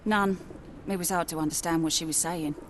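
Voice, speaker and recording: A woman's voice: low-pitched, steady tone, brisk, slight background noise, close to an everyday voice. An actress playing a guarded, plain-spoken woman who runs a Victorian port tavern in a video game. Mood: matter-of-fact. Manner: wary, matter-of-fact. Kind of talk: video game cutscene acting.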